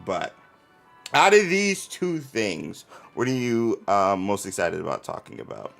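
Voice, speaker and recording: A man's voice: fairly low pitch, big pitch swings, unhurried, vocal fry, fairly bright tone, faint music behind. A man hosting a livestream, chatting casually and playfully with viewers in informal slang. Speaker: live stream host.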